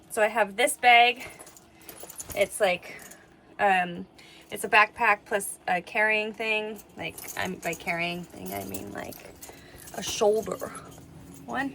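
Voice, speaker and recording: A woman's voice: medium pitch, gravelly, a bit bright, slight background noise. A young woman talking casually as a mom vlogger, in an informal, rambling way with lots of "like" and "you know". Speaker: vlogger and mother of young children. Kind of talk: casual chatty vlog talk.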